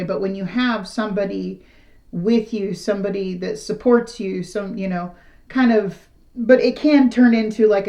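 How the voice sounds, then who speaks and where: A middle-aged woman with a raspy, slightly hoarse voice gives a casual tarot reading to the camera. She talks in a frank, rambling, conversational way. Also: medium pitch, darkish tone. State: slightly hoarse after illness. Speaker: tarot reader.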